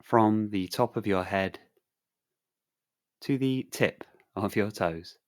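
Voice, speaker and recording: A man guiding a sleep meditation in a calm, soothing, measured way with long pauses. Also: fairly low pitch. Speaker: meditation guide.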